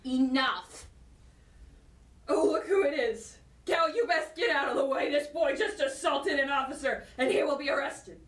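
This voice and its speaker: A woman's voice: high voice, normal speed, distant mic, close to an everyday voice. A woman playing a brash, taunting, bigoted officer of the law in an audio drama. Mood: angry, commanding. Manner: taunting, belligerent. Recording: roomy echo, off the microphone.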